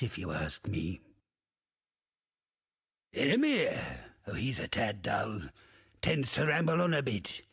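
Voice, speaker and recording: An actor playing a bawdy, wistful old ghost in a video game, theatrical and drawling, with a gravelly, rasping voice. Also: male voice, on the low side, fairly bright tone, dry recording, studio quality, heavily acted. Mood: roguish, bawdy. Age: older. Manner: theatrical, salty archaic pirate talk, swooping and drawling. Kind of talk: video game character monologue.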